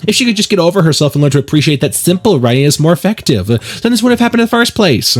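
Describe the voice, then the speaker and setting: A man's voice: on the high side, very animated, quick pace, husky, voice pushed up. A man voicing a feisty, defensive teenage girl in a whiny, combative way for a visual novel game. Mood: indignant, whiny.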